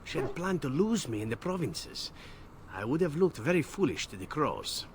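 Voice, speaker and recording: An actor playing a roguish, flirtatious assassin in a video game, telling tales in a suave, glib, teasing way. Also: male voice, a little high, very animated, normal speed, slight background noise. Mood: breezy, unrepentant. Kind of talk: video game dialogue acting.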